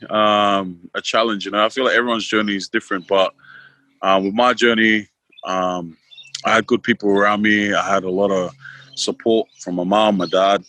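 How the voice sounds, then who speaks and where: A man's voice: lowish voice, sharp tone, no room sound. A man in his twenties from Queensland with an Australian accent, a podcast guest talking casually, laid-back with lots of fillers. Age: 20s. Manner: laid-back, many fillers, laughs easily. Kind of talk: casual interview talk.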